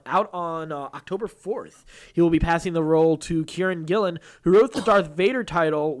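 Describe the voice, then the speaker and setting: A man with a slightly high voice talks as a podcast co-host. His delivery is casual and chatty, like news shared between friends. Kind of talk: casual chat with read-out news items.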